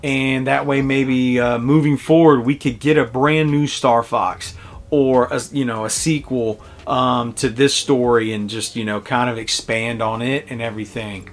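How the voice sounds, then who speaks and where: A man in his early forties giving a casual, conversational video game review in a halting, reflective way. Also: medium pitch, fairly even intonation. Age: early 40s.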